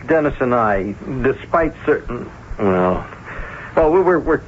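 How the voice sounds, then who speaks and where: Radio drama: timid, stammering bank employee male actor, animated mid pitch, meek and unsure, dull vintage recording. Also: a little slow, lightly acted. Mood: meek, defensive. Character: timid, self-doubting, mild-mannered male bank employee. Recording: muffled, noisy old radio broadcast.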